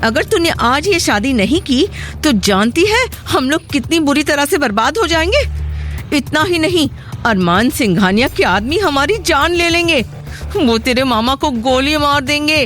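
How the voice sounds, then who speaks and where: A woman's voice: high-pitched, animated, a little slow, fairly bright tone. A woman playing a harsh, accusing middle-aged aunt in an audio drama, scolding and commanding. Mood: angry, threatening. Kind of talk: Hindi audio drama acting. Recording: music bed under the voice.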